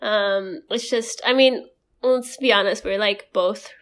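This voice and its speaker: A woman from Indonesia, a native Indonesian speaker, co-hosting a casual podcast and talking in an animated way, full of "like". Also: medium pitch, measured pace. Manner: animated, heavy use of "like" as filler. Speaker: podcast co-host. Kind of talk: casual conversational podcast chat.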